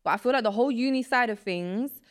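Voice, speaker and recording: A woman's voice: fairly high pitch, quick pace, smooth. Young woman guest chatting, lots of fillers. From Poland. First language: Polish. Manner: relaxed, rambling, heavy use of filler words. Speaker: podcast guest. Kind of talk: casual chat.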